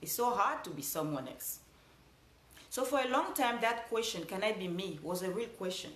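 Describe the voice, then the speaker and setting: A woman's voice: medium pitch, lively intonation, moderate pace, fairly bright tone, roomy sound, slight background noise. A woman with a Cameroonian accent giving an earnest motivational talk, repeating phrases for emphasis. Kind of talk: motivational speech.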